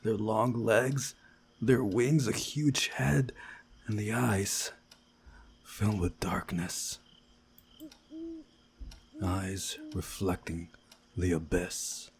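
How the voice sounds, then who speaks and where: Man acting out an anxious, breathy young man. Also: fairly low pitch, fairly even intonation, extremely slow, long pauses, a little hiss or hum, full character voice. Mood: uneasy. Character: anxious young man, frightened narrator of a visual novel.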